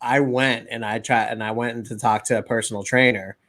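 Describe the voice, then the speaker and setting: Co-host: thirties man, mid pitch, loose and rambling, pokes fun at himself. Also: little pitch movement, fairly quick, fairly bright tone. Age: 30s. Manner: rambling storyteller, self-deprecating. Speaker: podcast co-host. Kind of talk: casual podcast chat.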